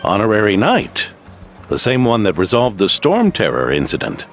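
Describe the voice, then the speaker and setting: An actor playing a wry, probing old veteran knight captain in a game. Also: male voice, on the low side, huge pitch swings, normal speed, faint music behind. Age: older.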